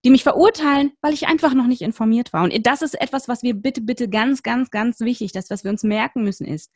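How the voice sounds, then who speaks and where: A woman's voice: fairly high pitch, a little fast, darkish tone, no echo. Earnest woman, close dry mic. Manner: earnest, emphatic, repeats words. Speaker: guest, director and author. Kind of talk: casual conversation.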